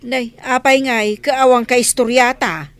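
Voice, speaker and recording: Lady acting a gossipy teasing village friend, comedy drama, kinda high voice. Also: steady tone, measured pace, clear, resonant tone.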